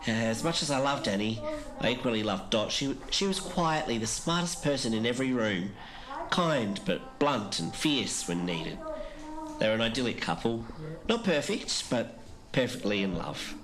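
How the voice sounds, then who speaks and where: An actor playing a grieving older man who recalls lost friends haltingly and reflectively in an audio drama interview. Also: medium pitch, lively intonation, crowd noise, lightly acted. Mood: fond, mournful.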